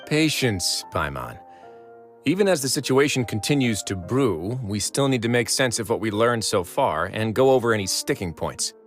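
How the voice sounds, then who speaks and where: Shrewd composed duke, fairly low voice, deliberate, dry wit, soft game music. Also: male voice, very animated. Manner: measured, dry humor, deliberate. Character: calm, shrewd male duke and warden. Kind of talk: video game voice acting.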